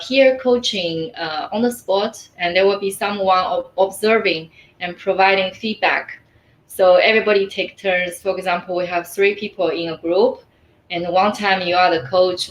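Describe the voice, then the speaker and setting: A woman whose first language is Mandarin, a coach answering interview questions in a thoughtful way, often pausing on "uh" and "mm". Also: fairly low pitch. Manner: thoughtful, frequent "uh" and "mm" fillers. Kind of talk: conversational interview. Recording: echoey room, faint ambient noise.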